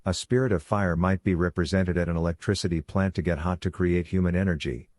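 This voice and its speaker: A man voicing a calm, authoritative werewolf pack leader who lectures in a measured, instructive way for a fantasy audiobook. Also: low-pitched, no echo, pitched below the performer's own voice, lightly acted. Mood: patient authoritative.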